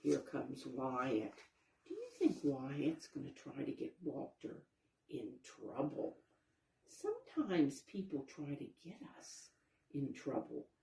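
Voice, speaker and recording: A woman with a low, mellow voice reads a picture book to young children in an echoey room. She explains as she goes and puts questions to her listeners. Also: wildly swooping pitch, slow, vocal fry. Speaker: storybook reader, teacher-like narrator. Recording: roomy, echoey sound.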